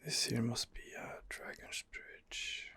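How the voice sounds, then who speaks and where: A man doing hesitant ASMR roleplay commentary over a game, rambling and thinking aloud in a low-level close recording. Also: slow, sharp tone. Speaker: gaming ASMR host playing in character.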